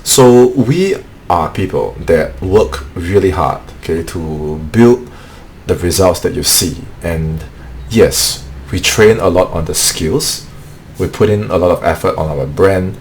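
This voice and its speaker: A low-voiced man leads a motivational live-stream training for remote sales closers. He talks earnestly and repeats himself, dropping "okay" between points. A faint hum sits under the voice. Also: animated, slow, darkish tone. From Singapore. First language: not English. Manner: earnest, repetitive, peppers speech with "okay".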